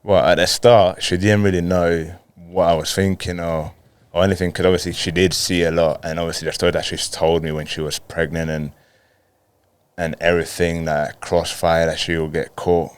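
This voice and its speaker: Colombian guy, native spanish speaker, 30s, really low flat delivery, casual storytelling on a podcast. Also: moderate pace, clear, resonant tone, dry recording. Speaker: podcast guest, footballer.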